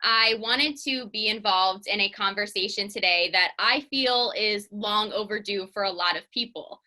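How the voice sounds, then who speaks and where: A young woman in her twenties, a sports reporter, hosting and opening a conversation in an earnest, measured way. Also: mid-range voice, pushed and bright. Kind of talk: scripted introduction.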